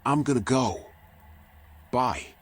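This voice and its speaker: An actor playing a world-weary raccoon detective in a noir video game, terse, dry and guarded. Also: male voice, medium pitch, lively intonation, slow, husky. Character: male raccoon private eye, world-weary, sardonic, guarded.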